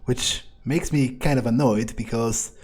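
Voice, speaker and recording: A man voicing a cold, aloof vampire in an in-character game playthrough, measured and sardonic, as if musing to himself. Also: medium pitch, steady tone, darkish tone, husky. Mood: sardonic, predatory. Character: cold, aloof male vampire, darkly dismissive.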